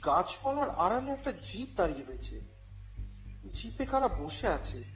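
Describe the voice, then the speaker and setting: Grumbling reporter narrator, high male voice, expressive, wry, detective audio story, music underneath. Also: moderate pace. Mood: tense, exasperated. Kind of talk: dramatized first-person story narration.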